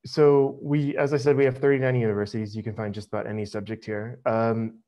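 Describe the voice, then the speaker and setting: A middle-aged American man giving a casual webinar presentation over a video call, relaxed and full of ums. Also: mid-range voice, brisk. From United States. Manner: relaxed, many ums, friendly. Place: home office, video call. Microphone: headset.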